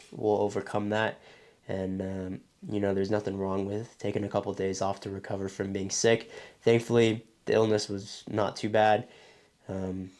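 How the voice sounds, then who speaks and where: A young man chatting casually in a vlog about his training, his voice hoarse and throaty from a cold, rambling with many fillers. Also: on the low side, darkish tone. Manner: loose and rambling, many ums and restarts. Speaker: vlogger training for a triathlon.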